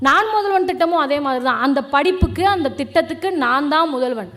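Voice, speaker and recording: A woman's voice: high voice, expressive, fairly quick, a bit bright. A young woman with a Tamil Nadu accent giving a fiery, colloquial political stage speech, challenging and combative, throwing out rhetorical questions. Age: young adult. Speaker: political speaker.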